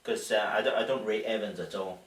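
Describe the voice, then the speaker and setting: A man's voice: on the low side, fairly even intonation, brisk, reverberant, distant mic. A Scottish man hosting a casual comic fight-sports chat show, joking and chuckling with stammering restarts. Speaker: show host.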